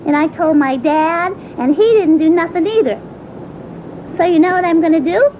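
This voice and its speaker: A woman playing a sulky, aggrieved young schoolgirl who rambles in a childlike, indignant monologue. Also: moderate pace. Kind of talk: character monologue. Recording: tinny phone-like band, faint rumbling noise.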